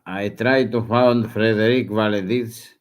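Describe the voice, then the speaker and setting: Slow, halting man speaking broken English. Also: on the low side, steady tone. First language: Greek. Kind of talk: halting self-recorded warning.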